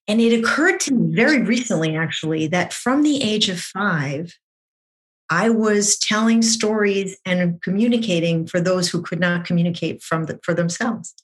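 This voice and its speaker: Chatty middle-aged woman, animated storyteller. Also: lowish voice, low-quality recording. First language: French. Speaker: marketing consultant guest. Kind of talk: conversational interview.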